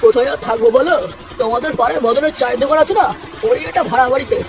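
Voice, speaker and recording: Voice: high-pitched, very fast, vocal fry. A performer voicing a grumpy, foul-mouthed old mason in a comedy cartoon, bossy and scolding in manner. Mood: worried. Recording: noisy, distant-sounding cartoon soundtrack.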